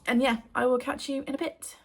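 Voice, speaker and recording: A woman's voice: high voice, fairly even intonation, fairly quick. A woman in her thirties, chatting casually in a home book vlog, a little breathless and wheezy from a cough. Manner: chatty, rambling, with frequent ums. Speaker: book vlogger.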